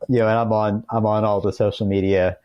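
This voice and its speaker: A man's voice: on the low side, level tone, fairly quick. A middle-aged American man speaking as a guest author in a conversational interview, explaining things earnestly. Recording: dull lo-fi call audio, roomy echo.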